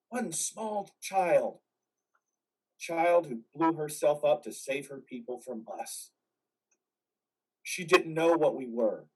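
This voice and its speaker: A man performs a war veteran soldier consumed by anguish and vengeance in a stage drama. His voice sits fairly high and has a husky edge. It is picked up a little way from the microphone. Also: fairly bright tone, recorded from a distance, full character voice, low-quality recording. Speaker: amateur actor. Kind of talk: dramatic play reading.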